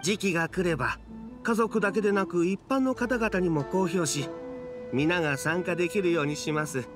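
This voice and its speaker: Man acting higher-voiced, obliging clan attendant. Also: normal speed, over music, natural voice. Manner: formal, eager to oblige. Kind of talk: anime game voice acting.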